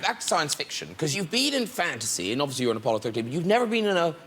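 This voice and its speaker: A talk show host, asking quick teasing questions. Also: mid-range voice, wildly swooping pitch, a bit bright, a little hiss or hum. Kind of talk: chat show hosting.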